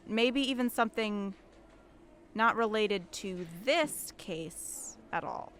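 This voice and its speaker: A woman's voice: mid-range voice, animated, slow pace, fairly bright tone, a little hiss or hum. A woman playing an earnest, guarded police interrogator in an audio drama, with a firm, businesslike and strained manner. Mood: uneasy, determined.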